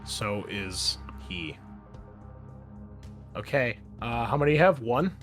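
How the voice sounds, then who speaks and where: A man's voice: medium pitch, expressive, takes it slowly, fairly bright tone. A man narrating a tabletop roleplaying game as game master, conversational and explanatory. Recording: music playing behind the voice. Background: background music.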